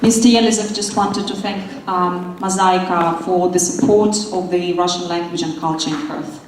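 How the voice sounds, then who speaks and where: A woman's voice: fairly low pitch, fairly even intonation, normal speed, noisy background. A woman interpreting and announcing speakers at an event in short, formal phrases. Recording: echoey hall, distant mic.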